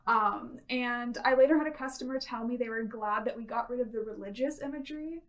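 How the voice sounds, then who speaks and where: Woman chatting in an interview, kinda high voice, bit far from the mic, casual storytelling. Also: a little fast. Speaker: interview guest, staff member. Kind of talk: casual personal anecdote.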